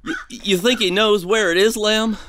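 A man's voice: sits high, steady tone, normal speed, background noise, natural voice. A man playing an eager, anxious, chatty treasure hunter in an audio drama. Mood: eager, uneasy. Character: eager, anxious male treasure-hunting sidekick.

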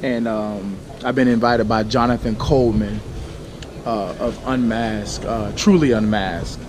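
A man in his thirties with a slightly scratchy voice, chatting casually to his handheld camera as a vlogger inside a large store, relaxed and full of fillers. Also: lowish voice, unhurried. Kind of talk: casual vlog talk to camera. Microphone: handheld action camera at arm's length. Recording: noisy handheld camera audio.

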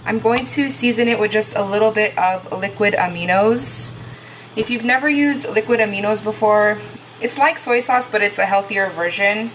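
A woman narrates her meal prep in a chatty, friendly way, explaining each step for viewers. Her voice sits at a middle pitch for a woman. She is recorded on the camera mic in a home kitchen. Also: moderate pace, fairly bright tone, a little hiss or hum, low-quality recording. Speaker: cooking vlogger.

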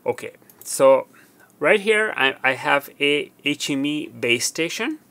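A man's voice: fairly high pitch, animated, slow pace, bright, forceful tone. A man in his fifties giving an unscripted product demonstration, explaining and rephrasing his points as he goes. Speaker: tech tutorial presenter.

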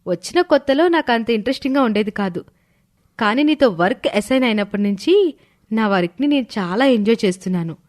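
A woman's voice: fairly high pitch, expressive, a little fast, close to an everyday voice. A woman voicing a young, earnest working woman in a moral story cartoon. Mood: friendly, earnest. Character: young working wife and her chummy office friend. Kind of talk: animated moral story dialogue.

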